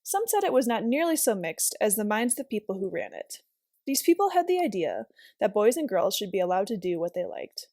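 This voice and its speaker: A woman's voice: mid-range voice, very animated, fairly quick, a little mellow, no echo, clean studio sound. A woman reading aloud as a volunteer storyteller, narrating a children's novel expressively.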